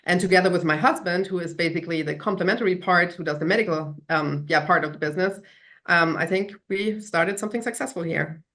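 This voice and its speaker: A woman's voice: fairly low pitch, fast, fairly bright tone. A woman from Germany with a German accent, answering conversationally as a business-owner guest in an interview.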